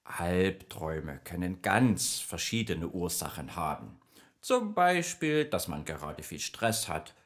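A man's voice: deep voice, very animated, slow pace, exaggerated voice. A man voicing a friendly, chatty nightmare spirit who patiently explains dreams in a children's radio play. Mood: evasive. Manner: explains patiently, evasive then informative.

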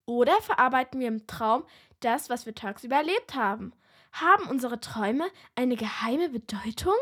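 A curious radio host, acted by a woman in a play for children. She has a high voice with a husky, breathy edge and presents in an inquisitive manner. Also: expressive, moderate pace, a bit bright. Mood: wondering.

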